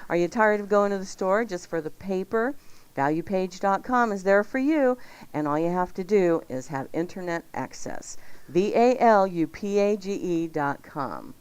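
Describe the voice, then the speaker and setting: A woman hosting a radio cooking show with lively banter and promotional patter. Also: mid-range voice, normal speed, clear, resonant tone, dry recording.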